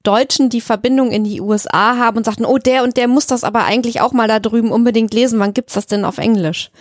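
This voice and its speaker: A woman co-hosts a podcast in a casual chat. Her voice is fairly high. It is recorded dry, without room sound. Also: steady tone, quick pace, dry recording. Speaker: podcast co-host.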